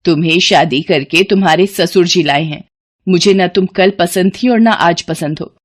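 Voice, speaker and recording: A woman's voice: lowish voice, moderate pace, lightly acted. Woman playing a haughty, scolding rich mother-in-law. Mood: scornful scolding. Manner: imperious, condescending, cutting.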